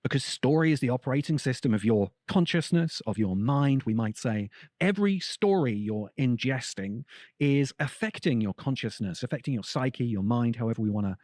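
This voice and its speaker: Guy doing a thoughtful podcast essay, very animated pitch, articulate and emphatic, dry close sound. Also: normal speed, no echo. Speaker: podcast host, author and critic.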